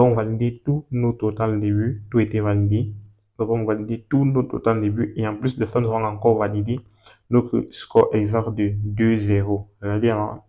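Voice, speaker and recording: A man, talking through a screen-recorded walkthrough, repeating himself and pausing as he points things out. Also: on the low side, warm tone. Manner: repetitive and hesitant, points at things with "ici, là". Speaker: betting tutorial host.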